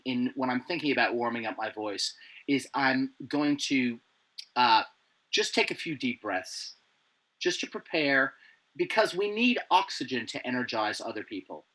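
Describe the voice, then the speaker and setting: A man being interviewed over a video call. He talks in a playful, animated way with many restarts. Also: mid-range voice, normal speed, poor audio. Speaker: guest, presenter coach. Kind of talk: remote interview chat.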